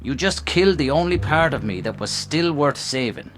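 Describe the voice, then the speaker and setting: An actor playing a stubborn, bitter, grieving veteran cop with an intense, defiant manner in a noir radio drama. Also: male voice, fairly high pitch, expressive, normal speed, a bit bright, a little hiss or hum, low-quality recording. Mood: bitter, betrayed.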